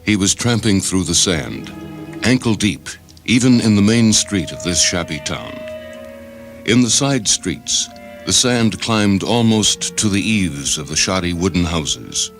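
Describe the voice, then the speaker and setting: Male story reader, low voice, expressive range, dramatic narration with music beneath. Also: unhurried. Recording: music under the voice. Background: background music.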